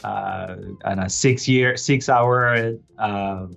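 A middle-aged man born in Colombia, an urban planner answering interview questions thoughtfully, with many pauses and fillers. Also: fairly low pitch, gravelly, fairly bright tone, soft background music. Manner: thoughtful, hesitant with frequent fillers. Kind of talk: casual interview talk.

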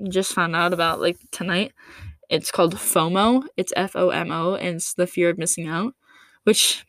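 Young woman, solo podcast host, slightly raspy, low-ish voice, casual and rambling. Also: no room sound. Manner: casual, rambling, many fillers. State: raspy from late-night recording.

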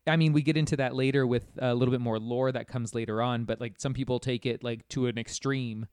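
A man of middling pitch talks casually about a video game. He muses informally as he works through an idea. The sound is dry, with hardly any room on it. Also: fairly even intonation, fairly quick, a little mellow, clear, resonant tone, dry recording. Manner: informal, musing. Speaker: podcast guest.

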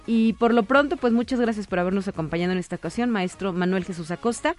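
A woman's voice: medium pitch, quick pace, darkish tone. A woman with a Mexican accent hosting a live radio programme, interviewing and making announcements. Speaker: radio host. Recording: music playing behind the voice. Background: music.

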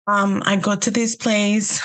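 A woman's voice: mid-range voice, level tone, slow pace, fairly bright tone. A young adult woman from Honduras with a Honduran accent, a podcast guest chatting casually, hesitant and full of fillers, laughing often. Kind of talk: casual interview chat.

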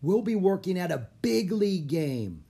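A man's voice: high-pitched, big pitch swings, normal speed, gravelly, mellow, voice pushed up, full character voice. A man voicing an earnest, slightly cautious young boy adventurer in a children's storybook, eager and plain-spoken. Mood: urgent.

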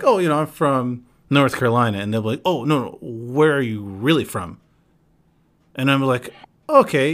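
Relaxed man telling stories on a podcast. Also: mid-range voice. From United States. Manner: relaxed storyteller, quoting people in quick asides. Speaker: podcast host. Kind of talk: casual podcast chat.

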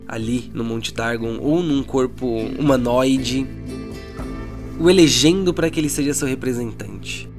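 A Brazilian man narrating a tabletop roleplay as game master, with informal, slangy speech. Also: mid-range voice. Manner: colloquial, slangy. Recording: music behind the voice.

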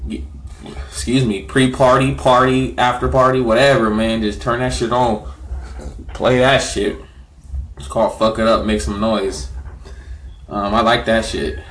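A man's voice: mid-range voice, fairly even intonation, a little slow, long pauses, a bit bright. A man hosting a music podcast in a casual, slangy, profane and repetitive way. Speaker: podcast host.

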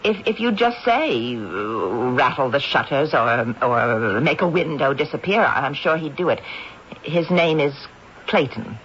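A woman's voice: extremely low pitch, animated, unhurried, fairly bright tone, full character voice. An actress playing an earnest, polite young woman who speaks for her ghostly great-grandfather, in a radio drama. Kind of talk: 1970s radio drama acting. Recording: dull old radio recording with faint hiss.

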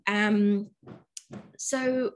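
A woman talks in a relaxed interview. Her voice sits at a middling pitch, and she pauses often to find her words as she reflects. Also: slight background noise. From London, England. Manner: reflective, halting, searches for words. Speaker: podcast guest, yoga teacher. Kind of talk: casual interview conversation.

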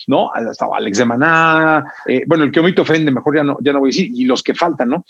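A man's voice: fairly high pitch, slightly rough, no room sound, dull audio. A man with a Mexican Spanish accent hosting a podcast in a friendly, informal way, with casual slang. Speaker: podcast host.

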